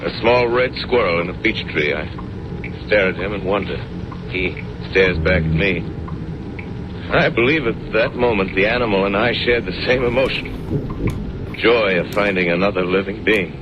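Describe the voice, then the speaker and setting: Old crackly radio play, lots of noise, guy playing a weary solemn survivor, lowish voice, measured and literary. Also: natural voice. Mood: solemn, haunted. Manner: measured, grave, literary delivery. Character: weary, solemn learned male survivor wandering ruined land. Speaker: narrator and lead actor. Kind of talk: 1930s radio drama acting. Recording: dull, very noisy old radio recording with road rumble.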